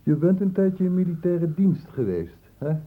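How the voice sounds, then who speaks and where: An actor playing a stern, probing doctor in a Dutch radio drama, with measured, clinically precise questioning. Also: male voice, high voice, big pitch swings. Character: probing, stern male doctor, calmly cornering a suspect. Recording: muffled phone-like old radio recording.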